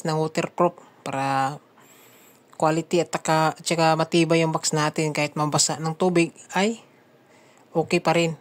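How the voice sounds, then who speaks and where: Low-voiced Filipino woman narrating a DIY build. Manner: conversational, often addresses viewers as guys. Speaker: DIY speaker-box builder and vlog host. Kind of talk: casual how-to voice-over narration.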